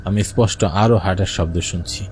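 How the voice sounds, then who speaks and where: A man's voice: sits low, brisk, a little mellow, a little hiss or hum, close to an everyday voice. A man playing a frightened village fisherman, narrating a cartoon horror story in the first person with suspense and anxious urgency. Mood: nervous, suspenseful.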